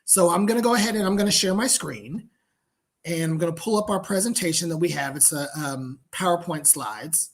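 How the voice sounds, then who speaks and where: A man's voice: on the high side, brisk. A man from the United States presenting as a guest over a video call, friendly and casual with frequent ums. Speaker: guest business founder. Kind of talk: webinar presentation.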